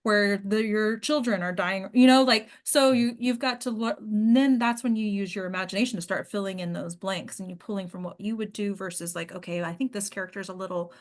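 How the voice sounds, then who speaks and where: A woman's voice: mid-range voice, brisk, few pauses. A woman hosting and coaching a casual group discussion, explaining things in an encouraging way. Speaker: host and acting coach.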